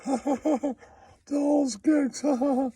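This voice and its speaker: A man's voice: extremely high pitch, fairly even intonation, moderate pace, dark tone, no room sound, pitched above the performer's own voice. A man voicing a gleeful, taunting puppet in a puppet comedy, with speech punctuated by cackles. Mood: gleeful mocking.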